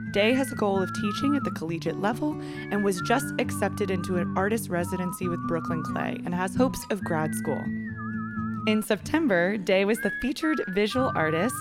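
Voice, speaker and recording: Upbeat woman host over loud music. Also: mid-range voice. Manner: upbeat, laughs often, lively rising intro. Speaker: podcast host. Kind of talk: casual interview chat. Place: home studio in Brooklyn.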